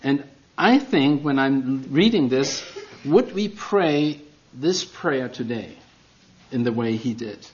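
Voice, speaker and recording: A man's voice: medium pitch, lively intonation, slow, dull audio. A middle-aged man with a German accent preaching a sermon as a guest, earnest and self-deprecating, with non-native phrasing.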